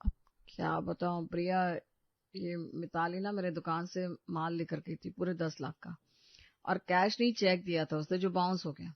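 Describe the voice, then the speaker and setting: A man playing a smooth-talking, evasive husband in a cartoon story, teasing and defensive. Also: high voice, level tone, normal speed, dry recording, lo-fi sound. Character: glib, evasive husband making excuses.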